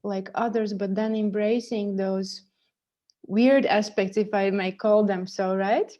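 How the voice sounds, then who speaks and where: A woman's voice: medium pitch, fairly even intonation, unhurried, dark tone, muffled recording. A woman hosting an interview over a video call, speaking hesitantly with many fillers. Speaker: interview host. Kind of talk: interview, casual chat.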